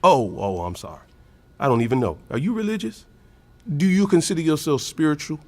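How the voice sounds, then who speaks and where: An older, retired professor with a zealot's preachy streak. His pitch leaps and dips as he rambles on in earnest, emphatic indignation. It is an audio drama performance, recorded close and dry. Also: very animated, a little fast, darkish tone, no echo. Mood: earnest, rambling.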